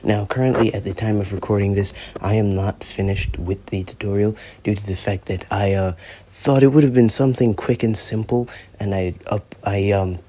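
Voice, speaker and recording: A man's voice: on the low side, fairly even intonation, normal speed, warm tone. A teenage boy reviewing a mobile shooter as a YouTuber, rambling and joking, with plenty of profanity. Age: teens. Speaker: gaming YouTuber. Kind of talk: gameplay review commentary.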